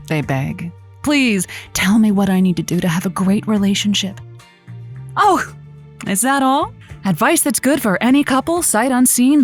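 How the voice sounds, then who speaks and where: Actress as flirty, poised female radio advice host, very animated, theatrical wordplay, comedy podcast drama. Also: mid-range voice, natural voice. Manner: theatrical, coy, playful wordplay. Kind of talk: scripted audio comedy, radio monologue.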